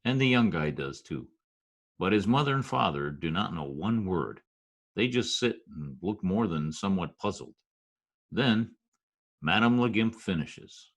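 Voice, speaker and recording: A man acting as a wry Broadway storyteller in an old-time radio play. His voice sits low, and he talks in deliberate, oddly formal street slang, confiding like one of the boys. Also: animated, exaggerated voice. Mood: wry, observant. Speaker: narrator and actor in an amateur radio play recreation.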